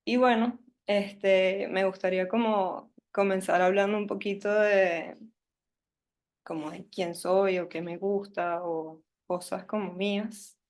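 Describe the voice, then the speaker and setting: A woman's voice: mid-range voice, fairly even intonation, slow, darkish tone. A young woman from Caracas with a Venezuelan Spanish accent, telling her life story at a workshop in a hesitant, nervous way, full of fillers. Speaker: workshop speaker. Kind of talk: personal storytelling talk.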